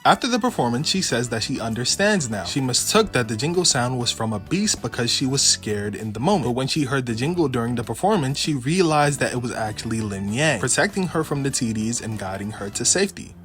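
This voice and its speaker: A man's voice: medium pitch, expressive, fairly quick, few pauses, fairly bright tone, faint music behind. Man casually recapping a game story. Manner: blunt, informal. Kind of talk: casual recap narration and opinion.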